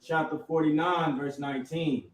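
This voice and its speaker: A man's voice: a little high, fairly even intonation. A man student in a class, hesitant in his answers and reading scripture aloud. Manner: hesitant, halting. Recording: distant, echoey room.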